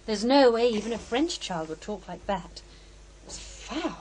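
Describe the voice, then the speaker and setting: An unflappable female investigator in a horror radio play. Her voice sits fairly high. She is blunt and matter-of-fact, with a wry edge. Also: lively intonation, a little slow, darkish tone, a little hiss or hum, rough recording. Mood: wry, certain. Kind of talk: horror radio drama dialogue.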